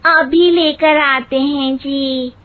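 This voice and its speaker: A woman acts out a naive, cheerful young village daughter-in-law for a comic cartoon. Her voice is very high and she takes her time over every rustic phrase, utterly sure of herself. The sound is narrow, like a phone line. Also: fairly even intonation. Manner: slow, artless, rustic phrasing. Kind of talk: comic cartoon story acting.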